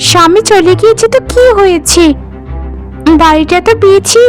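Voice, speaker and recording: A woman puts on a very high, shrill younger village wife for a cartoon. She is defiant and indignant, full of melodrama, with music underneath. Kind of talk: animated folk-tale voice acting.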